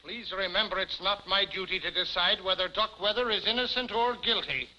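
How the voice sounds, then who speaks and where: An actor playing a blustering, pompous small-town police chief in 1950s television comedy style. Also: male voice, high voice, a little fast, sharp tone. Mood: officious. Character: blustering, officious small-town male police chief, sweet-talking, cowardly. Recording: muffled, hissy 1950s television soundtrack.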